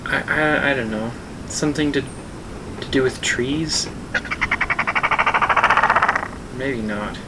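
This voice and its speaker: A man as a wry explorer, rumbly backdrop. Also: a little slow, close to an everyday voice. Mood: hesitant, casual. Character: reflective young male explorer narrating his journey. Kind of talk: audio drama acting and first-person narration. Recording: noisy, low rumbling hum behind.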